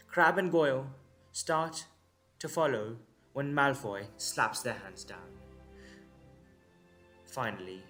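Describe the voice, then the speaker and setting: A man narrating a scripted audio drama in a measured, dramatic way with deliberate pauses. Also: mid-range voice, a bit bright.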